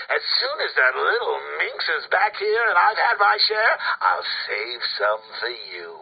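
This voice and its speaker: An actor playing a cackling, unhinged male clown villain who taunts his nemesis in a theatrical, mocking way, swinging between whispers and shouts. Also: high-pitched, exaggerated voice, low-quality recording. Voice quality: gravelly, with manic laughter. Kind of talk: video game voice acting.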